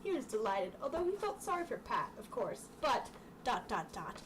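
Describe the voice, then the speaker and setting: Noisy far-off mic, young woman w a highish voice reading a storybook, casual, trips over words. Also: sing-song, normal speed. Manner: informal, stumbles over the text. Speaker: storytime reader. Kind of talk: reading a children's book aloud with casual asides. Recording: distant mic, clearly noisy room sound.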